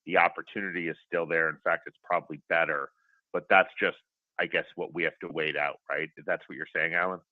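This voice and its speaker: A man's voice: deep voice, steady tone, a little fast, no echo. A man speaking as a financial analyst on an earnings call, asking questions in an informal, direct, conversational way. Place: conference call. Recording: dry, tinny telephone line.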